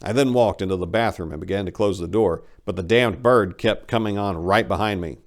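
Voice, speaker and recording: A man's voice: low-pitched, fairly quick. A man narrating a first-person comic short story as a dramatic reading.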